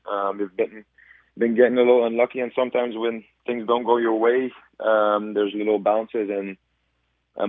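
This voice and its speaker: A man's voice: lowish voice, no echo. A man in his twenties, a soccer player guest answering radio interview questions thoughtfully, with many ums and restarts. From Belgium. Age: 20s. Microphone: phone call. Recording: tinny phone line.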